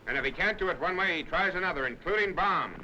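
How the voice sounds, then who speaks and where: A man delivers dramatic 1930s newsreel commentary in a high, declamatory voice. The old soundtrack is noisy and dull, with a narrow, dated sound. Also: lively intonation, fast talker. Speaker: newsreel narrator.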